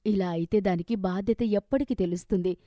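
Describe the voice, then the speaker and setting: A woman voicing a stern middle-aged mother and wife in an animated story, questioning and scolding. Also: medium pitch, a little fast, no echo, studio quality, natural voice.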